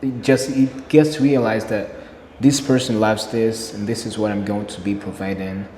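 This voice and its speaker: Low-voiced man from Burundi, echoey room. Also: steady tone, normal speed, darkish tone. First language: Kirundi. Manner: earnest, repeats key phrases for emphasis. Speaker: interview guest, English learner. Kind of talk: casual interview answers. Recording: echoey room with long reverb, faint noise.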